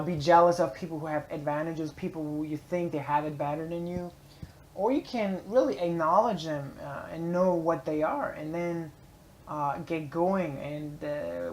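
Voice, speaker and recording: A man's voice: a little high, darkish tone, slight background noise. A man with a German accent gives an earnest, rambling motivational talk as a vlogger, with many filler words, speaking from a public library space.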